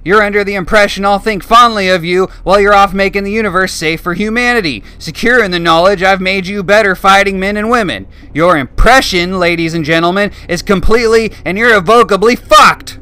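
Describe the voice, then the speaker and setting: A man voicing a large, angry, foul-mouthed drill sergeant who shouts abuse at recruits. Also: high voice, brisk, few pauses, smooth, pitched above the performer's own voice. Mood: barking, abusive. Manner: shouting, profane, mocking.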